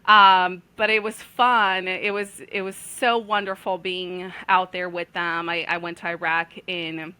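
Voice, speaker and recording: A woman in her forties from Brazil, earnestly telling her life story as a guest, pausing often on fillers. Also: on the low side, flat delivery, bright, forceful tone, clean tone. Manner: earnest, with many filler pauses. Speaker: guest, intuitive healer. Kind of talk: casual storytelling.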